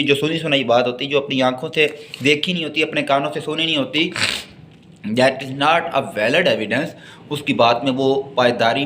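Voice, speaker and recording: Male, Pakistani accent, medium pitch, bilingual lecturer, explanatory and repetitive, noisy recording. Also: fairly bright tone. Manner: explanatory, repeats and rephrases points, slow and halting when reading. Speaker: law lecturer. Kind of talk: bilingual lecture, reading English text and explaining it.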